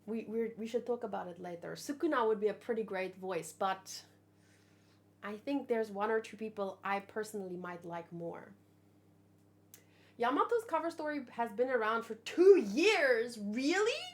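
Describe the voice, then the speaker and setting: A woman hosting a manga read-along, talking in a casual, expressive, thinking-aloud way. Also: on the high side, normal speed. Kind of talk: casual reaction commentary with read-aloud dialogue.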